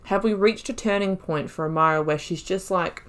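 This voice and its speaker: A woman's voice: lowish voice, moderate pace. Chatty woman musing over a game. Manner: musing, chatty, laughs often. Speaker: YouTuber playing a video game. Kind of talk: let's-play commentary.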